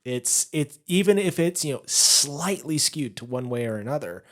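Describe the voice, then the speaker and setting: A man's voice: on the high side, expressive, normal speed. A man co-hosting a podcast, explaining his points at length in a casual chat and laughing easily. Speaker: podcast co-host.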